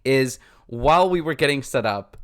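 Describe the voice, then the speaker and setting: A young man co-hosting a podcast in casual chat, upbeat and quick to agree and joke. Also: mid-range voice, lively intonation, clean tone. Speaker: podcast co-host.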